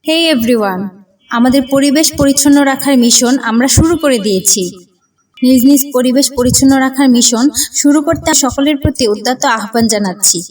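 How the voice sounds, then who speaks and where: An eager little cartoon schoolgirl, voiced by a woman, pitched high. She is an earnest, emphatic young campaigner. The voice sits slightly away from the mic. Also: a little fast, darkish tone, distant mic, low-quality recording. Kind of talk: cartoon dialogue.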